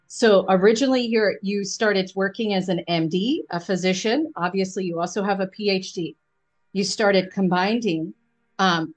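A woman from the US East Coast with an American accent, interviewing conversationally as a podcast host, chatty and encouraging. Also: mid-range voice.

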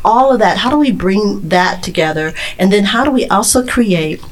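A woman's voice: mid-range voice, lively intonation, normal speed, rough recording. A woman speaking as a panel guest, laying out her points step by step with emphasis in an earnest discussion. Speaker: panel guest, child and parent advocate.

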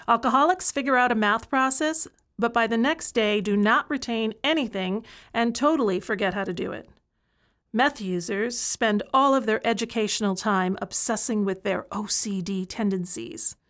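A woman's voice: a little high, moderate pace, a bit bright, lightly acted. An actress playing an incarcerated woman who tutors other prisoners, speaking frankly and earnestly in an audio play monologue. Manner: earnest, frank, building to a plea for change.

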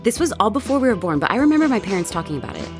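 A woman playing an excitable, bold young roommate who spins gossip dramatically in a horror audio drama. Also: mid-range voice, very fast, music in the background, natural voice. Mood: gossipy. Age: young adult. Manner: animated, teasing, dramatic storytelling.

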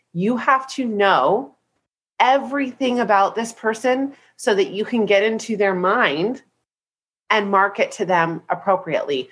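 A woman's voice: medium pitch, fairly bright tone, breathy edge. A woman business coach teaching on a training call, congested from a head cold, upbeat and chatty, often checking in with "right?".

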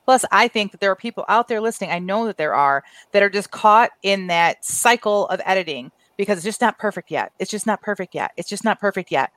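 A woman hosting a podcast in casual chat. She is upbeat and encouraging, with a middle-pitched voice and a dry, close sound. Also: expressive, brisk, few pauses, fairly bright tone, no echo. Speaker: podcast host.

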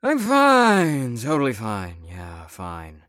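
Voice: mid-range voice, wildly swooping pitch, slow pace, fairly bright tone, dry recording, studio quality, full character voice. Drawling, flippant, exhausted young patient. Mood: weary, defensive. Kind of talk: audio drama acting.

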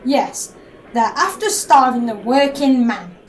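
Woman playing indignant railway picket, 1919 audio drama, high, very lively intonation, slow delivery, ambient noise. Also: low-quality recording. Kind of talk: scripted audio drama dialogue.

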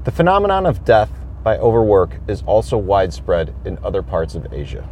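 A man hosting a homestead podcast, talking thoughtfully with pauses and fillers. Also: fairly low pitch, wildly swooping pitch, darkish tone, slight background noise. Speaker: podcast host. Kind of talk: casual solo podcast talk. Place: on a homestead farm.

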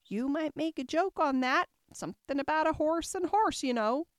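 Woman voicing a small, high, wistful little gnat. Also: expressive, normal speed, no room sound, clean studio sound. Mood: teasing. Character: tiny unhappy gnat, wistful and teasing.

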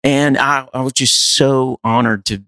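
A middle-aged man, a guest singer, telling stories in lively, admiring casual conversation. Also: medium pitch, unhurried, smooth, no room sound, studio quality. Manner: animated storyteller, admiring.

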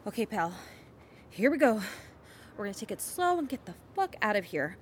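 A woman's voice: medium pitch, sing-song, moderate pace, a little hiss or hum, lightly acted. A woman playing a plucky, protective hiker in an audio drama, coaxing and slangy. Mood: reassuring.

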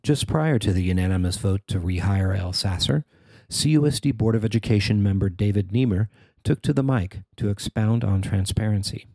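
A man's voice: on the low side, normal speed, warm tone, no room sound. A man reading a scripted news report as its reporter and narrator.

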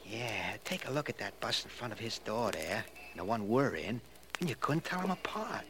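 An actor in a 1940s radio drama playing an eager, deferential, slow-witted street tough with slangy, uneducated talk. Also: male voice, fairly low pitch, big pitch swings. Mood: proud, dutiful. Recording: noisy vintage radio recording.

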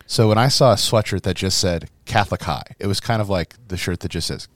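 Hoarse, low-voiced priest. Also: male voice, fairly quick, dry recording. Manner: rambling, storytelling with laughs. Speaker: priest and podcast co-host. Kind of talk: casual chat.

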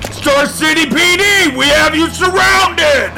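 A man playing a commanding police officer in an audio drama, shouting orders forcefully. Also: extremely high pitch, takes it slowly, creaky, soft background music, exaggerated voice, poor audio. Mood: commanding, urgent.

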